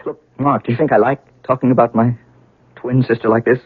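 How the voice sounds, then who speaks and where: An actor playing a grieving, wry and bitter heir to a wealthy house in a 1970s radio drama, speaking haltingly. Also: male voice, mid-range voice, steady tone, husky, no room sound, lightly acted. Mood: bitter, frank. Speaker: actor in a radio mystery play. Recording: narrowband old radio broadcast, dry.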